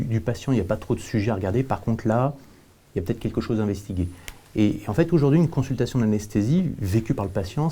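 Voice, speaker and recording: A man giving explanatory answers as an interview guest, with halting repetitions and illustrative examples. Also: fairly low pitch, dark tone. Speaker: company representative, panel guest.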